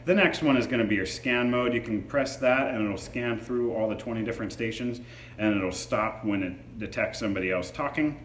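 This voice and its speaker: A man's voice: lowish voice, a little fast, a bit bright. A man reviewing a product in a casual, hands-on demo, explaining features informally. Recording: echoey room with faint background noise.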